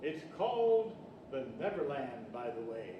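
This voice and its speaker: A man's voice: mid-range voice, measured pace, highs cut off, noisy background. An older man playing a quirky, helpful old storyteller with a whimsical manner, in radio-play style. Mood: whimsical, explanatory. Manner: animated, whimsical. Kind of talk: 1940s radio-style stage acting. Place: library event room, indoors, live stage. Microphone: stand microphone. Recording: distant, roomy, music behind. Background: music.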